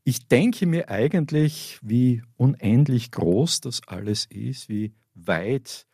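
A man's voice: mid-range voice, animated, takes it slowly, mellow, husky, dry recording, clean studio sound. A man with an Austrian accent giving explanatory answers as an expert guest in a radio interview. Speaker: interview guest, astrophysics professor. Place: small radio studio at a school. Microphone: studio microphone.

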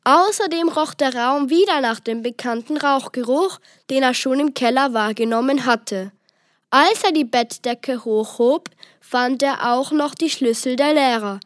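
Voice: sits high, a little slow, fairly bright tone, no echo, clean studio sound. A child reading scripted narration for a children's story.

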